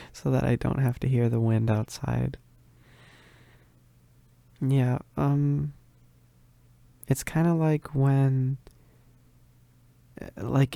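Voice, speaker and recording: Needy, bashful male werewolf roommate for ASMR, acted by a man: dark soft tone, creaky, halting. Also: mid-range voice, no echo. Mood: bashful, explaining. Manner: hesitant, stammering, bashful with soft chuckles. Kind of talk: intimate ASMR roleplay.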